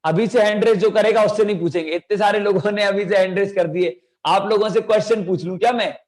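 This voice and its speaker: A man's voice: high-pitched, steady tone, fast talker, a little mellow. A young man with a sore throat teaching an online children's class, coaxing the kids with lots of energy. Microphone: video call.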